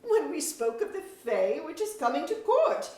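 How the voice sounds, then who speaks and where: Woman playing a high-voiced, echoey, haughty court chamberlain. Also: big pitch swings, normal speed, darkish tone. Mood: stern, lecturing. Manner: rhetorical, emphatic, archaic formal diction. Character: proud, witty Lord Chamberlain of a fantasy court, loyal, scolding. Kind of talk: staged reading of mock-Shakespearean verse drama. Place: museum auditorium. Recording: echoey auditorium, distant mic.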